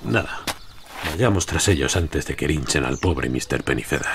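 An actor playing a courteous, outwardly pained village elder who speaks formally and soothingly. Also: male voice, very deep voice, expressive, normal speed, vocal fry, background noise, exaggerated voice. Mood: regretful. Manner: formal, appeasing.

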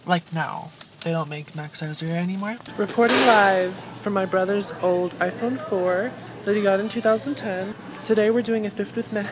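A woman's voice: medium pitch, normal speed. Bubbly young woman vlogging on a phone. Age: young adult. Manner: bubbly, self-admiring and chatty. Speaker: thrift vlogger. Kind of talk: casual vlog chat. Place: inside a parked car and a thrift store. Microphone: phone camera held at arm's length. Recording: noisy phone recording.

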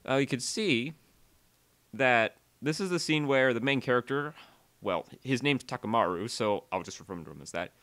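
Man, tutorial voice-over, bright tone, animated pitch, conversational, uneven energy. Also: moderate pace. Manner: conversational, self-interrupting, uneven energy, dwells on key words. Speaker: tutorial creator and presenter. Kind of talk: casual software tutorial voice-over.

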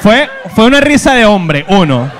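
A man's voice: high voice, very animated, normal speed, fairly bright tone. A man with a Venezuelan accent doing stand-up crowd work, teasing the audience in crude, exclamatory slang. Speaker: stand-up comedian. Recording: live venue, faint background noise. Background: comedy club audience ambience.

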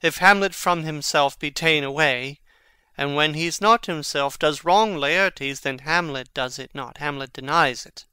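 An actor playing Hamlet, a brooding, witty young prince, in a measured, theatrical Shakespearean reading. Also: male voice, fairly high pitch, sharp tone, clean tone, no echo. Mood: earnest, contrite. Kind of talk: dramatic reading of Shakespearean verse.

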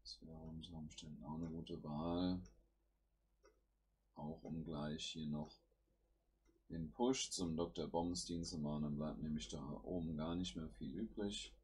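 Male gameplay host, has a cold, very low and husky voice, talks through each move at home. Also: steady tone, measured pace, a little mellow. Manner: thinks aloud while playing, with fillers. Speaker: gaming channel host. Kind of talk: casual gameplay commentary.

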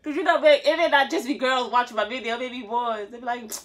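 A woman's voice: a little high, steady tone, fairly quick. Young woman, easygoing and playful, talking to viewers mid-stretch from the floor of a small room. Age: 20s. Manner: chatty, joking, lots of laughs and slang. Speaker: dance vlogger. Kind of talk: casual chat to camera while stretching. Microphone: phone camera on the floor.

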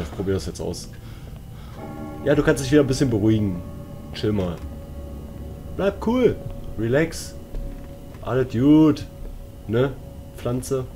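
A man's voice: on the low side, mellow. A man giving casual, colloquial, joking commentary as he plays a horror game, talking to himself and his viewers. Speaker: let's player.

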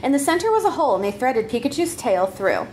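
Animated, high-voiced woman reading a story. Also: quick pace, slight background noise. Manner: playful, giggly, breaks character. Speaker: troupe member reading own comedy story. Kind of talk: live comedic story reading, narration.

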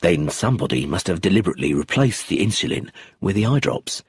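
A man doing a gruff, deep police inspector. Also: quick pace, dry recording, clean studio sound, full character voice. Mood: firm. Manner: low, steady, abrupt. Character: calm, blunt male police chief inspector.

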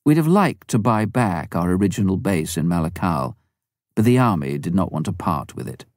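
A man reading a first-person memoir aloud in a measured, even way. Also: low-pitched, no room sound, studio quality. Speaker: audiobook reader. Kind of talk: scripted memoir narration.

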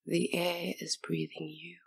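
A woman guiding a hypnosis meditation in a soft, breathy near-whisper, with soothing, gentle, unhurried instruction. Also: on the low side, monotone, dry recording. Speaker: hypnotist and session guide.

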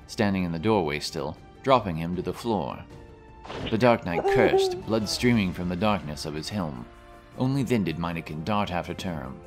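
A man with a very low voice narrates a fantasy tale in storybook fashion. Soft music plays faintly beneath his scripted reading. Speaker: audiobook narrator.

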